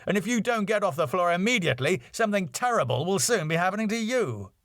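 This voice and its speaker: A man's voice: high voice, big pitch swings, quick pace, a bit bright, dry recording, clean studio sound, pitched above the performer's own voice, exaggerated voice. A man voicing a tetchy, indignant theatrical man with a withering manner in a sitcom.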